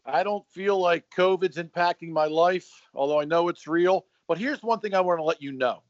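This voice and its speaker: A middle-aged man co-hosting a podcast, telling stories in a lively, joking, casual way. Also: high voice, normal speed, dry recording. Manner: animated storyteller, joking. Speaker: podcast co-host. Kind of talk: casual chat. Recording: dry, slightly dull sound.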